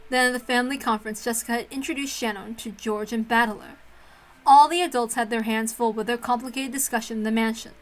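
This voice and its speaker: Reserved servant girl played by a woman reader, low and soft, formal, wistful visual novel narration. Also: steady tone, fast, close to an everyday voice, low-quality recording. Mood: wistful, restrained.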